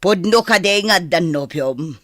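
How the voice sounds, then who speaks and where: A woman's voice: fairly low pitch, animated, measured pace, a bit bright, dry recording. A woman playing a stern, disapproving mistress of the household and mother in an Ilocano radio comedy drama. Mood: indignant, stern.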